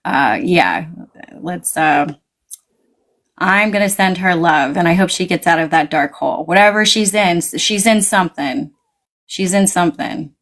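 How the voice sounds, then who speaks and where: A woman guest in casual conversation, talking animatedly, swinging her pitch up and quoting her own reactions. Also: measured pace, fairly bright tone.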